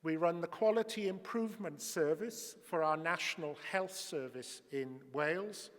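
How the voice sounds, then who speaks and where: A man speaks in a fairly high voice, delivering a formal conference talk in a measured, explanatory way. His words ring out with the long reverb of a lecture hall. He pauses now and then to find his words. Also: a bit bright. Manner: measured, explanatory, occasional hesitations. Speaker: conference speaker, public health official.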